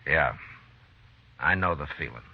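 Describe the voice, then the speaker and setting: An American actor playing a steady, weary frontier lawman in a radio western, measured and plain-spoken. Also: extremely low pitch, vocal fry, exaggerated voice. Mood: earnest. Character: steady, weary frontier lawman, adult man, fair-minded. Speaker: actor and first-person narrator. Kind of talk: 1950s radio western acting. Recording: dull old radio recording, music behind.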